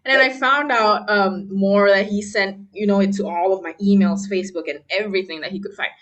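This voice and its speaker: A young woman from Malaysia tells her story as an interview guest. She talks in a relaxed, chatty way, full of "um" and "you know", and laughs now and then. Her pitch sits in the middle range. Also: brisk, muffled recording. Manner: chatty, many fillers, laughs often. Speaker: guest pianist. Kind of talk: casual interview talk.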